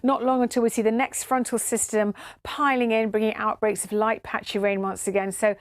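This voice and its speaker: A woman's voice: a little high, little pitch movement, a little fast, no echo. A woman presenting a broadcast weather forecast.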